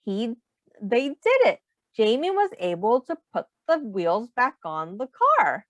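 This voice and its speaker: A woman reading a children's storybook aloud in an expressive, simple teacher's manner, pausing to put questions to young listeners. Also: fairly high pitch, dry recording, highs cut off.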